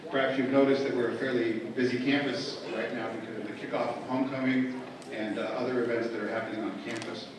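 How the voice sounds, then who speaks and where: Male administrator, medium pitch, relaxed-format welcome address, recorded from far off in a big hall. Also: fast, a crowd in the background. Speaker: university administrator. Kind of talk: informal welcome speech. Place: large echoing event hall with audience. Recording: very echoey, distant, noisy hall.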